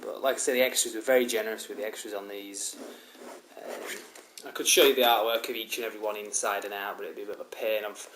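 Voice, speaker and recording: A man's voice: lowish voice, fairly even intonation, quick pace. A man with a head cold, rambling casually through a DVD collection review with many ums and restarts. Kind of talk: casual show-and-tell review. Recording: roomy echo, loud background hiss.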